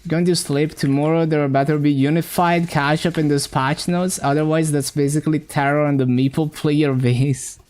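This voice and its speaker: Italian streamer, mishmash accent, rambly, says like a lot, middle pitch. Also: steady tone, moderate pace, few pauses, a little mellow. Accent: mixed, self-taught English accent. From Italy. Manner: rambling, lots of "like" and restarts. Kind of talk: casual live-stream chat while gaming.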